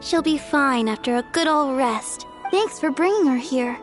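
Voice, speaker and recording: A woman's voice: sits high, lively intonation, moderate pace, faint music behind. A woman playing a caring but subtly pushy, self-assured healer in an anime video game.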